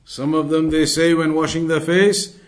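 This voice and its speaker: A man with a slightly high voice teaches a religious class. He reads from a text and explains it deliberately, often restating each point. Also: level tone. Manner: deliberate, repetitive explaining, switching between recited Arabic and English. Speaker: Islamic studies teacher reading and explaining a text. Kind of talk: religious lesson, reading Arabic then translating and explaining in English.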